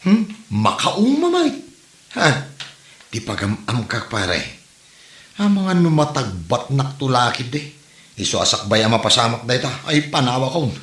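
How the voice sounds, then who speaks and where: Man acting a sharp-voiced grumbling Ilocano husband. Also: medium pitch, sing-song, unhurried, echoey room. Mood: exasperated. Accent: Ilocano Filipino. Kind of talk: Ilocano comedy drama dialogue.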